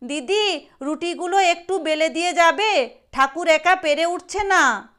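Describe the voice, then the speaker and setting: Woman playing a high-pitched, needling gossipy aunt. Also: measured pace, fairly bright tone. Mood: calling out. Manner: taunting, needling. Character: snide, gossiping aunt, mocking her sister-in-law.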